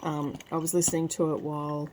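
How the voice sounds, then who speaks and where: A woman with a croaky voice from crying, talking softly at home in a casual book review vlog. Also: sits low, level tone, brisk, a little hiss or hum. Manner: hushed, soft-spoken so as not to wake others. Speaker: book vlogger. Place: at home, early morning.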